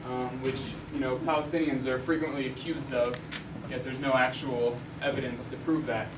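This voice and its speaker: A man's voice: medium pitch, little pitch movement, normal speed. A young man giving a casual slide lecture with frequent ums and restarts, heard from a distance in a university lecture hall. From Arizona, USA. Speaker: journalist giving a talk. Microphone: room mic at a distance. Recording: echoey hall, mic far off, noisy.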